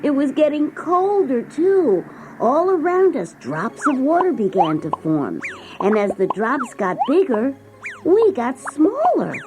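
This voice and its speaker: A woman narrating a scripted children's story in an expressive storytelling manner. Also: high voice, unhurried, a little hiss or hum, poor audio. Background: music.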